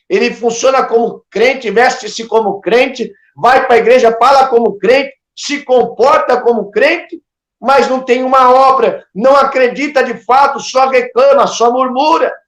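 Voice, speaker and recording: A male Brazilian Portuguese preacher speaks in a notably high voice with plenty of room echo around it. He addresses the listener directly in an informal sermon, admonishing with emphatic repetition and pointed rhetorical questions. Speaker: preacher hosting an online ministry channel.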